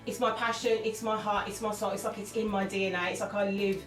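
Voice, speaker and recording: A woman's voice: medium pitch, little pitch movement, fast talker. Candid woman, echoey distant sound. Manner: open, emotional storyteller, laughs often. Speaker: interview guest, singer and actress. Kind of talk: candid conversational interview. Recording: echoey room, mic far off, music underneath.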